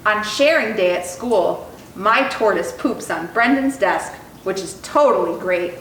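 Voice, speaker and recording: A woman reading a children's picture book aloud in an expressive, animated storytelling style. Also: mid-range voice, measured pace. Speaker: storytime reader. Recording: roomy echo, distant mic, faint noise.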